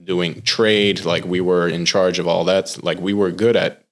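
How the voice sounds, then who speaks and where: A young American man, a chef, talking casually in a podcast interview and explaining things in a relaxed way, with lots of filler words. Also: sits low, unhurried. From United States. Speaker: chef and pitmaster, podcast guest.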